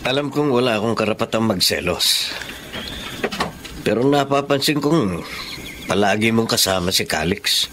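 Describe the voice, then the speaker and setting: A man's voice: lowish voice, lively intonation, moderate pace, long pauses, noisy background, lightly acted. An actor playing a jealous, worried male best friend in a Tagalog radio drama, with a brooding, emotive delivery.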